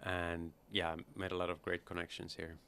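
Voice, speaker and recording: A middle-aged man from Finland, a startup founder talking casually as an interview guest at a conference, relaxed and easygoing. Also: sits low, moderate pace, clean tone. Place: busy conference hall.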